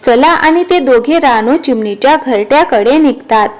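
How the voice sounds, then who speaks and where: High-pitched woman narrating a children's story. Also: animated, normal speed, soft background music.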